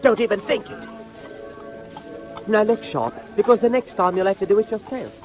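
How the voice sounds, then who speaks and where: A man's voice: sits high, expressive, normal speed, a little mellow, faint music behind. An actor playing a cocky, bossy, streetwise teenage boy pickpocket in 1940s audio drama style. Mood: sharp, scolding.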